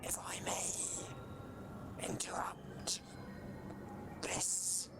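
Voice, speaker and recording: A man playing a menacing, cold reptilian leader in a sci-fi audio drama, speaking formally in a raspy hiss. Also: whispered. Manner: slow, deliberate, formal.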